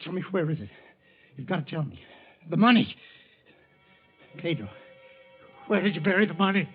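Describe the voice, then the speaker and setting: A man's voice: high voice, very animated, normal speed, husky, soft background music, heavily acted. A man playing an anxious, scheming thief with an urgent, questioning manner in 1940s radio drama style. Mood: desperate. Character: anxious, scheming thief Herbert, desperate for hidden money. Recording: muffled old radio recording.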